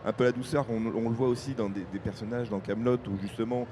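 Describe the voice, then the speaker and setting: A man's voice: medium pitch, steady tone, quick pace, background noise. A man with a Parisian accent interviewing guests, friendly and a little hesitant, laughing easily. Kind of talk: casual interview. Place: outdoors at a fan convention.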